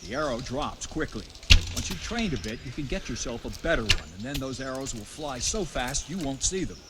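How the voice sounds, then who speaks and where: An actor playing a stern, gruff medieval captain who instructs a young recruit in a video game. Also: male voice, mid-range voice, big pitch swings, brisk, very noisy, close to an everyday voice. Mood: patient, instructing.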